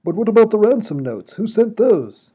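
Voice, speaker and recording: A man's voice: sits high, sing-song, normal speed, slightly rough, exaggerated voice. A man voicing a prim, polite upper-class lord in a comedy radio play. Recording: dull lo-fi audio.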